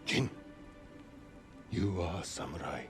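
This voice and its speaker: Deep, grave samurai lord. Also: male voice, music in the background. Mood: solemn. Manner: grave, measured, formal. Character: stern, noble samurai lord, older uncle and mentor. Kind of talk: dramatic video game acting.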